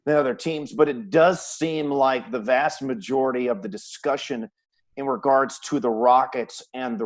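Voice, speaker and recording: A man's voice: medium pitch, darkish tone. A man hosting a conversational sports talk show, putting questions and views to his guests. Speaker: sports web show host, play-by-play announcer.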